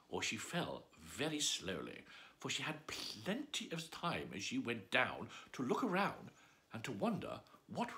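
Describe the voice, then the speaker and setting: Brief: theatrical man reading a bedtime story, bright tone, wildly varied pitch. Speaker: storyteller host.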